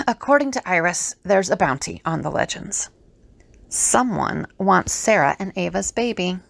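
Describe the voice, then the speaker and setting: A woman's voice: on the low side, lively intonation, unhurried, gravelly, fairly bright tone, no echo, full character voice. A woman playing an irritable, sceptical seer in an audio drama, with a dry, exasperated manner. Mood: worried, weary.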